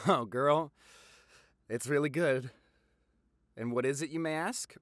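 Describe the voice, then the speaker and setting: A young man playing a gossipy, affectionate popular boyfriend in an audio roleplay, with a theatrical, teasing manner and drawn-out emphasis. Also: medium pitch, fairly bright tone, no room sound, studio quality. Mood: teasing, fond. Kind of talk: dramatic boyfriend audio roleplay, addressed to listener.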